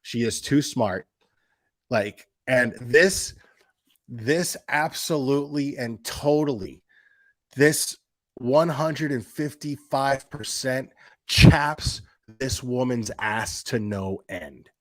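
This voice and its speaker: A man's voice: medium pitch, slow, no room sound. A man giving casual, sarcastic reaction commentary, mocking and swearing, hammering points home through emphatic repetition. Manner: profane. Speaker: host of a commentary stream.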